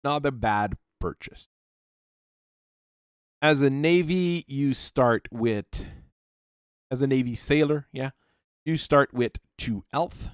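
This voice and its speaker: A man hosting a channel, working through tabletop character creation while thinking aloud and reading rules out, with halting pauses. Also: mid-range voice, clear, resonant tone, no room sound, clean studio sound. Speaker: channel host.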